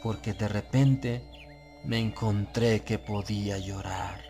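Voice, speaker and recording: A man narrating a gothic tale as an audiobook, in a solemn, measured, literary delivery with phrases that fall away at the end. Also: fairly low pitch, fairly even intonation, mellow. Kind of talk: gothic horror story narration in Spanish, first person. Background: background music.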